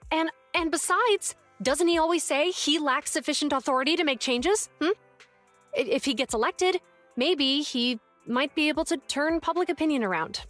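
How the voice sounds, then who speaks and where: A woman's voice: sits high, a bit bright, no echo. A woman playing an earnest, friendly young public security officer in a video game, speaking sincerely. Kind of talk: video game character acting.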